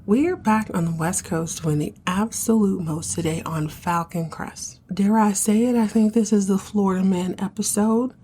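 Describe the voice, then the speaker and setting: A woman in her thirties from the United States hosting a soap opera recap podcast, speaking in a hushed, half-whispered way because she has partly lost her voice. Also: medium pitch, normal speed, hardly any pauses, a little hiss or hum. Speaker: podcast host.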